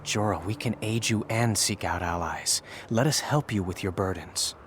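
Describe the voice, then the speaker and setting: An actor playing a calm, sympathetic human adventurer in a fantasy video game, speaking gently and supportively. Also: male voice, fairly low pitch, moderate pace, slight background noise, lightly acted. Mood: concerned.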